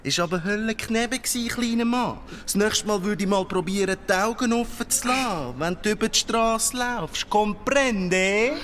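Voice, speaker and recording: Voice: mid-range voice, normal speed, few pauses, fairly bright tone, slight background noise, lightly acted. An actor playing a brash, streetwise big-city cockroach in a children's radio play, with Swiss German speech and hip-hop slang mixed with English. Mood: cocky.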